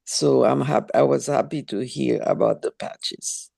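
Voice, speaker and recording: A woman's voice: rumbling low, unhurried, gravelly, warm tone. A woman from Haiti, a caller on a group video call, who speaks hesitantly with many fillers. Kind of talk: casual group call chat.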